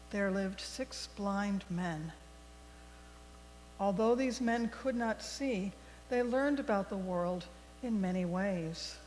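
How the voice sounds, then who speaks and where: Lady reading a storybook at a church service, takes her time, expressive, lots of hall echo. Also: mid-range voice. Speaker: worship leader telling a story for all ages. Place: large indoor congregation space. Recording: echoey hall with mains hum.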